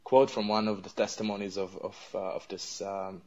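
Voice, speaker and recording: A young man with a fairly low voice speaks English as his third language, his first being Arabic. He answers in a hesitant, conversational way, full of pauses and restarts. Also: steady tone, darkish tone. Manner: hesitant, many fillers and restarts. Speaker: interview guest.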